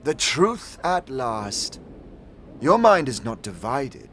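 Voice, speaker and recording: A man's voice: mid-range voice, very animated, takes it slowly, a bit bright, slight background noise, pitched above the performer's own voice. A man voicing a stern, hostile female alien warrior in a fantasy audio drama, speaking in a formal, archaic and accusatory way. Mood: contemptuous.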